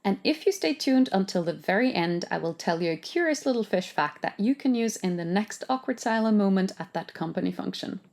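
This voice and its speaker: A woman host reads an upbeat scripted introduction. She slips in playful little asides. Her voice sits at an ordinary middle pitch. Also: animated, fairly quick, few pauses, darkish tone. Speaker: podcast host. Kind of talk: scripted narration.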